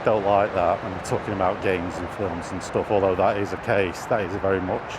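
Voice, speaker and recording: Low-voiced British man outdoors, traffic noise. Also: steady tone, a little fast. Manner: hesitant, thinking aloud. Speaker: vlogger. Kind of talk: rambling casual commentary. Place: outdoors while walking in an urban area. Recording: noisy outdoor recording with traffic. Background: clear street and vehicle noise.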